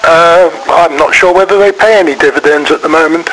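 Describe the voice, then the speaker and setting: A man's voice: a little high, a little fast, a bit bright. A man answering a phone call in a guarded, noncommittal way, keeping his replies short. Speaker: call recipient. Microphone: telephone call recording.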